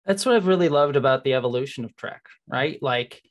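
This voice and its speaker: Halting, rambling interview host. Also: medium pitch, lively intonation. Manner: rambling, halting, filler-heavy. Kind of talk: casual, enthusiastic fan interview. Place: indoors, remote video call.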